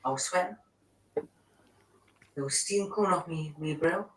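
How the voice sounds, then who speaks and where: A woman's voice: sits low, moderate pace, slight background noise, rough recording. A woman chatting and telling stories at home, away from the microphone. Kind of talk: casual chat, heard from across the room.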